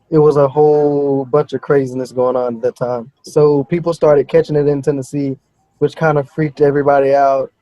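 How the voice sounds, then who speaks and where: A young man from Texas telling a story as a podcast guest, animated and exasperated, heard over dull call audio. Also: mid-range voice, no room sound. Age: young adult. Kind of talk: casual storytelling.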